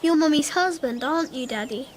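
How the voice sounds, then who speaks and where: A woman's voice: high voice, fairly quick, slight background noise, natural voice. An actor playing a dreamy, earnest little girl, narrating simply and innocently in a radio drama. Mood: innocent questioning.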